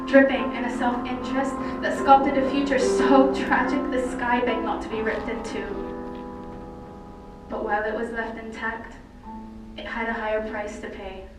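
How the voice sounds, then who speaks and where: A woman from Cyprus recites spoken-word poetry in a fairly high voice, phrasing it deliberately with dramatic pauses. The sound is heavily echoing and picked up from some distance. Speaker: poet. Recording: very echoey, distant mic, loud music. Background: loud music.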